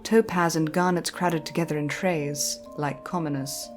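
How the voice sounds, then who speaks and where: A woman reading a scripted, dramatic story as narrator, with measured and deliberate phrasing. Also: low voice, fairly even intonation. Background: soft music.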